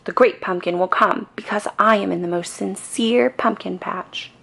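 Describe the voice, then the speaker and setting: A woman voicing an earnest, excitable young boy full of stubborn hope in a children's storybook. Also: medium pitch, moderate pace, gravelly, breathy edge. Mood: earnest, defensive. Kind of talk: children's storybook read-aloud.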